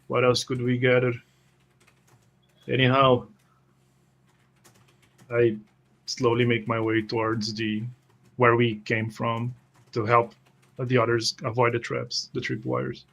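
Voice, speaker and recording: A male tabletop player with a medium-pitched voice and very little variation in tone. He describes what his character does and speaks its lines, hesitating as he searches for words. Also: little pitch movement, slow pace, creaky, long pauses, fairly bright tone, highs cut off, a little hiss or hum. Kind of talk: describing his character's actions in improvised play.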